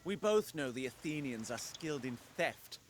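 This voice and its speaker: An actor playing a scheming, commanding royal patron in a video game, giving formal instructions. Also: male voice, mid-range voice, sing-song, normal speed, slight background noise, lightly acted. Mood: imperious. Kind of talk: dramatic video game acting. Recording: music behind the voice.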